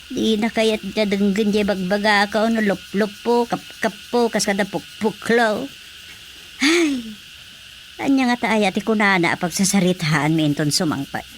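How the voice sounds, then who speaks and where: A woman's voice: mid-range voice, unhurried, slight background noise, natural voice, rough recording. A woman with an Ilocano accent playing a fretful, chatty village mother and wife in a radio drama. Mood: nagging, teasing.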